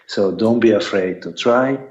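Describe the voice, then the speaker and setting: A middle-aged man with an Italian accent giving a lecture to students, deliberate and repetitive, counting his points off one by one. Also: lowish voice, darkish tone. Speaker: sports lawyer giving a talk. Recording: echoey, dull lo-fi sound.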